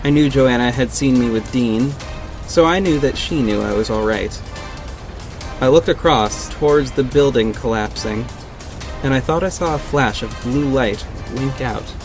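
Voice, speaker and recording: A man's voice: mid-range voice, measured pace, close to an everyday voice. Guy acting a confident masked vigilante, dramatic first person narration for audio drama, music and city sounds behind. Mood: tense, dramatic. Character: confident male masked vigilante hero and detective, telling his story.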